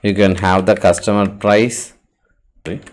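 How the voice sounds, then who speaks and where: A man presenting an unscripted software walkthrough, speaking haltingly and often repeating words and phrases as he goes. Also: fairly low pitch, steady tone, a little hiss or hum. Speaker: tutorial presenter.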